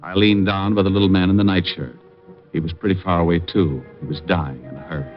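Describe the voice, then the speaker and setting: An actor playing a wisecracking private detective who narrates, dry and laconic, in a 1950s radio drama, on a muffled old recording with music. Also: male voice, low-pitched, fairly even intonation, fast, close to an everyday voice. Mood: narrating.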